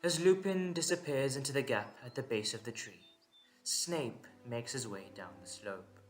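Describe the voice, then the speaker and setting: A man's voice: medium pitch, normal speed, soft background music. A man giving dramatic scripted narration for an audio drama.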